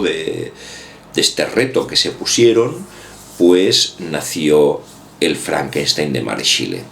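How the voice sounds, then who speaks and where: A man's voice: a bass voice, creaky, a little hiss or hum. A man giving a casual, rambling book commentary, hedging often and repeating himself. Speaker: book vlogger.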